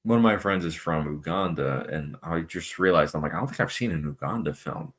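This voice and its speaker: Low-voiced man chatting on a live stream. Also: animated, brisk, fairly bright tone. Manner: chatty, reads viewer comments aloud, many fillers. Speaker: movie channel host. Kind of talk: casual live stream chat.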